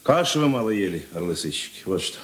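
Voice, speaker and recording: An actor playing a seasoned police investigator in a radio play, calm, unhurried and gently ironic. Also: male voice, deep voice. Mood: wry. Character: seasoned male police investigator chief, calm, wry, fatherly. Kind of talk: Russian radio drama acting. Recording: echoey room.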